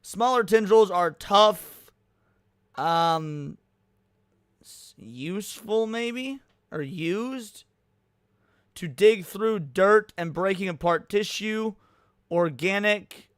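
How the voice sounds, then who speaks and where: A man's voice: high voice, very slow, long pauses, fairly bright tone, smooth, dry recording, studio quality. A man reacting casually to a video on a livestream, talking in slang and swearing.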